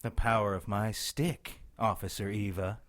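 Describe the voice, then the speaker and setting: A man's voice: fairly low pitch, steady tone, a bit bright. A man playing a vengeful, arrogant witch villain in an audio drama, with a sinister, taunting delivery. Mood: menacing, gloating. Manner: theatrical, sinister, drawn-out taunting.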